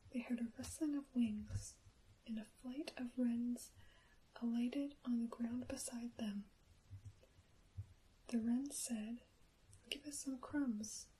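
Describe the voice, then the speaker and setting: A woman whispers a fairy tale in ASMR style, slow and unhurried. Her voice is soft, breathy and mellow, and she forms each word gently and carefully. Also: on the high side, rough recording. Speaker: storyteller and ASMR host.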